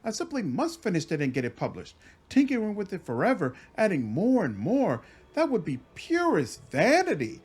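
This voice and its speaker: A man voicing a fussy, earnest woman scholar in a high, indignant voice. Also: wildly swooping pitch, normal speed, darkish tone, slightly rough, full character voice.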